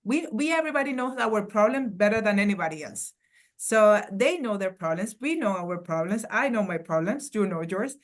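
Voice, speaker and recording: A woman's voice: mid-range voice, animated, moderate pace. A woman from Venezuela with a Venezuelan Spanish accent, a guest academic answering earnestly on a panel and searching for words.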